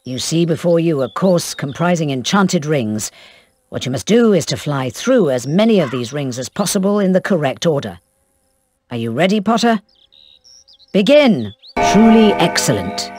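A woman playing a strict but kindly older British flying teacher in a video game, with a crisp schoolmistress manner. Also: low voice, sing-song, moderate pace, darkish tone. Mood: brisk, commanding. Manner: crisp, commanding, schoolmistress delivery. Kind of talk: video game cutscene acting.

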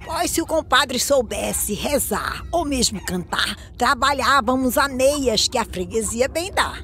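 High, lively, shrewd village prayer woman. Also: a little slow, heavily acted. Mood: businesslike, proud. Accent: Brazilian. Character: stout, shrewd village prayer woman who profits from death. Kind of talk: verse drama in an animated film.

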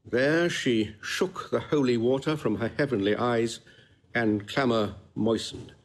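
An actor playing a tender, eloquent courtly gentleman in a classical audio drama, speaking with earnest lyricism. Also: mid-range voice, a little slow, close to an everyday voice. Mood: tender admiration. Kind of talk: classical stage-style audio drama acting.

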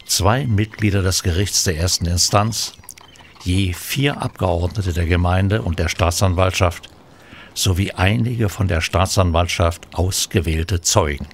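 A man narrating a radio play from a script in a measured, sombre storytelling manner. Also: low voice. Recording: clear background noise.

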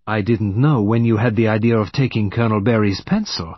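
A man's voice: fairly low pitch, slightly rough, no room sound, lo-fi sound. Man playing a shrewd, courteous male detective. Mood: knowing. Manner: measured, deliberate, courteous. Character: shrewd, gentle male detective, calmly explaining a crime.

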